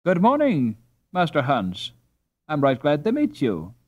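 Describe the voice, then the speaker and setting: A man puts on a saucy young storybook girl with a raised pitch. She speaks emphatically and scornfully, with big swoops in her intonation. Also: wildly swooping pitch, unhurried, a little mellow, highs cut off, full character voice. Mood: pleased, bright. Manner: indignant, emphatic.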